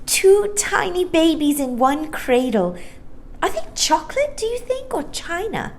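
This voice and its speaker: Woman doing a sweet high little girl. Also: big pitch swings, a little slow, full character voice. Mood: wondering.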